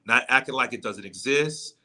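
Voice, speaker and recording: A man with a bright, punchy voice at a middling pitch talks as a pastor guest on an interview show. He teaches in a conversational way, explaining step by step and restating his main points. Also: normal speed. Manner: explanatory, affirming, repeats key points. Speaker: guest, pastor and trauma coach.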